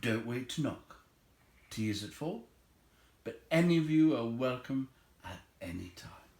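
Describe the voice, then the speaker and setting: A man's voice: mid-range voice, lively intonation, unhurried, darkish tone. Man voicing a humble, flustered little hobbit, roomy echo. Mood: warm, inviting. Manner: hesitant, stammering. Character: humble, polite, flustered hobbit, a small male adventurer. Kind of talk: storybook character voice.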